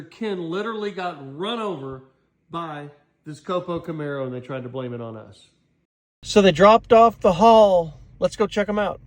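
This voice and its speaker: A middle-aged man hosting a casual car vlog, ad-libbing in a blunt, joking, salty way. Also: on the high side. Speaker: vlog host and car dealer. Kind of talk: casual, ad-libbed walkaround vlog.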